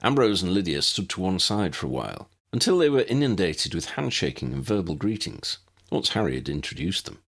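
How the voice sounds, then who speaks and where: A man's voice: deep voice, very animated, fairly quick, a bit bright, slightly rough. A man reading scripted story narration as an audiobook narrator.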